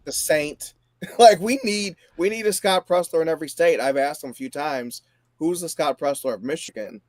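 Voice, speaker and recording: A man's voice: on the high side, brisk, darkish tone, dry recording. A man around forty chatting casually about politics as a show guest. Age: 40s.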